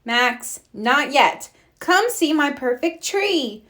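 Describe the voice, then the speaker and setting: A woman acts out a young girl rabbit from a picture book, earnest and a little bossy. The voice is high and very animated, with deliberate, emphatic phrasing. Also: full character voice. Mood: eager, insistent.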